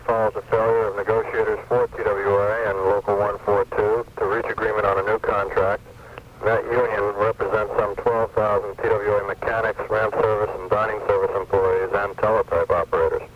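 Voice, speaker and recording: A man giving a prepared statement as a company spokesman, somewhat hesitant. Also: on the low side. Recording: very noisy, distant, muffled AM radio recording.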